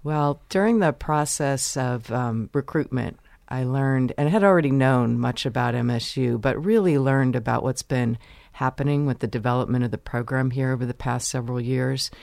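Measured woman explaining policy, dry sound. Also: a bass voice, vocal fry, a little mellow, dry recording. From United States. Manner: measured, explanatory, pauses to choose words. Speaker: university vice president, interview guest. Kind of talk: interview answers.

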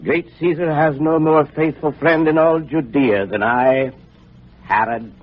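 An actor playing a suspicious, treacherous king in an old radio drama, husky and grandiose. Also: a little high, a little slow. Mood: suspicious, scheming. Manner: grandiose, paranoid, theatrical. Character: suspicious, treacherous Judean king, brooding and menacing. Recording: muffled old radio recording, music behind.

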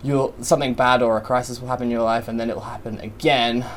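A man from England with an English accent carrying an Australian twang, chatting casually as a podcast guest, upbeat and quick to laugh. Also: flat delivery, a little hiss or hum. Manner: upbeat, laughs often, breaks into high laughs. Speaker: podcast guest, content creator. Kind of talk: casual chat.

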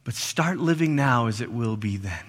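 A middle-aged man from Malaysia preaching a sermon in a church, swinging between loud emphatic lines and soft hushed ones. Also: mid-range voice, animated, moderate pace. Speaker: pastor. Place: church sanctuary. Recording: long reverb of a church hall.

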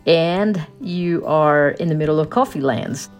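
A woman's voice: deep voice, unhurried, a little mellow, soft background music. A woman, a coffee farmer telling her story in an interview, reflective and laughing at herself. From Costa Rica.

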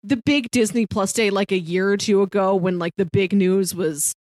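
A woman's voice: mid-range voice, normal speed, darkish tone, no echo. Dry-sounding close-up woman, playful movie fan. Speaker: podcast co-host. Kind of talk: casual movie chat.

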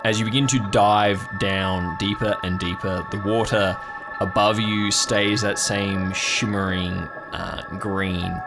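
A man's voice: lowish voice, fairly even intonation, slow pace. A man improvising descriptive narration as game master of an audio drama, setting scenes in the second person. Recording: music playing behind the voice. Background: music.

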